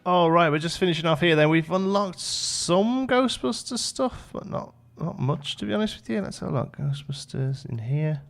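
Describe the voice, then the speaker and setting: A man's voice: on the high side, animated, normal speed, vocal fry, hardly any pauses, faint music behind. Chatty man doing gaming commentary. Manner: chatty, thinks aloud. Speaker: gaming video host. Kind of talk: casual let's play commentary.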